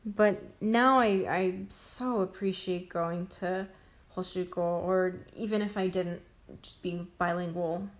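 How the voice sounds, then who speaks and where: A young woman talking casually on a podcast. She thinks out loud, restarting her sentences and stacking fillers as she goes. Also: lowish voice, steady tone, slow. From Japan and New York, grew up between two languages. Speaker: podcast co-host. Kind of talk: casual chat.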